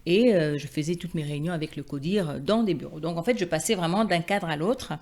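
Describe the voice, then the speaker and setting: A middle-aged woman from the Democratic Republic of the Congo telling her own story conversationally, animated and often searching for her words. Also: lowish voice, a little fast. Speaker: interview guest, independent export consultant. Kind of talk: personal testimony, conversational storytelling.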